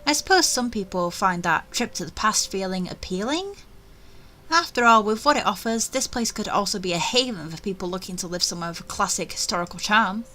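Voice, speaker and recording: Bright-voiced woman narrating a visual novel. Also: mid-range voice, fairly quick. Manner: animated, expressive, chatty. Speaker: gamer reading a visual novel aloud. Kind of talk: first-person narration with asides.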